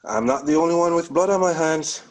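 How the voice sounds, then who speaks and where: A man playing a bitter, guilt-ridden widowed police officer with a husky voice, in a dramatic script read-through. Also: fairly high pitch, a little fast, natural voice.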